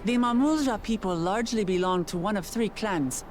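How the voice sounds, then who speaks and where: A woman's voice: medium pitch, moderate pace, darkish tone, slight background noise, natural voice. A woman voicing a proud, plain-spoken young princess in a video game, earnest and blunt. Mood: explanatory. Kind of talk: scripted video game voice acting. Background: music.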